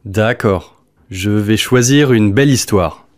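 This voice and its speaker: A man's voice: on the low side, slow pace, a little mellow. A man reading short scripted answer options for a language listening test.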